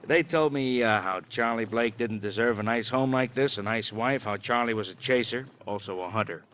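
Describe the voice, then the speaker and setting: An American actor playing a wry, roundabout police detective who feeds out hints bit by bit in a 1950s radio crime drama. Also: male voice, medium pitch, normal speed, a bit bright, natural voice. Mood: wry, insinuating. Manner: drawling out hints, tagging questions with huh. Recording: hissy old radio recording.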